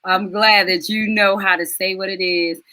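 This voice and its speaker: A middle-aged woman hosting a live online stream, chatty and affectionate, with quick asides. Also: medium pitch, fairly even intonation, sharp tone, no room sound. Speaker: host and soul mentor.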